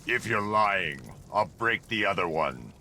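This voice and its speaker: An actor playing a grim, intimidating masked vigilante with a gravelly voice and a terse, commanding manner. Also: male voice, on the low side, expressive, a little slow, background noise. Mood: threatening.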